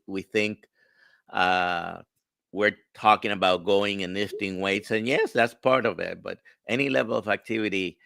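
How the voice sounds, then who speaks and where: A man with a Spanish-sounding accent from a stroke, a doctor explaining in slow, halting phrases on a live stream. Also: fairly low pitch, creaky, sharp tone, dry recording, clean studio sound. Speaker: doctor guest. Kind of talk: casual live-stream chat.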